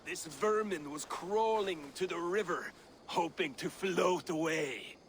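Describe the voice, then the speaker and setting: A man's voice: fairly high pitch, slow, a little hiss or hum. An actor playing a proud, merciless, hot-headed warrior in a video game, scornful and blustering in his declamatory delivery. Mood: gloating scorn. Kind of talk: dramatic video game acting.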